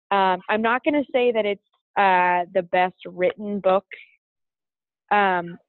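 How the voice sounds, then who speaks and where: A chatty woman teacher talks about books and keeps restarting her sentences. Her voice comes through a tinny, phone-like line with a very dry sound and no room echo. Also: mid-range voice. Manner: chatty, many fillers and restarts. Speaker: teacher and podcast co-host. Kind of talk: casual chat about books.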